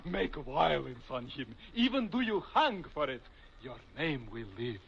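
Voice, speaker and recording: A fiery foreign consul with a thick put-on accent. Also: male voice, a little high, big pitch swings, a little slow, gravelly, a bit bright, a little hiss or hum, full character voice. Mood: excitable. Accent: exaggerated put-on Eastern European. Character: excitable male foreign consulate official. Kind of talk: 1940s radio acting. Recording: dull old radio recording.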